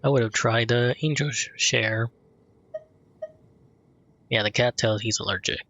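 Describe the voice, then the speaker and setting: A man giving casual let's play commentary with low-key, short reactions. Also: fairly even intonation, a little slow, a bit bright. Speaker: gaming video creator. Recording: dull lo-fi mic, faint game music.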